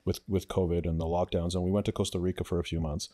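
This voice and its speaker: A man's voice: sits low, little pitch movement, quick pace, dark tone. A man born in Ukraine, a guest on a podcast, talking bluntly and emphatically in casual chat. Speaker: guest, influencer.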